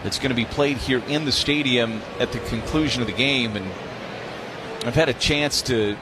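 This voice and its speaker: A man's voice: medium pitch, normal speed. An American man calling a baseball game live on the radio as play-by-play announcer. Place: stadium broadcast booth during a game. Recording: clear crowd noise behind the voice. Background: ballpark ambience.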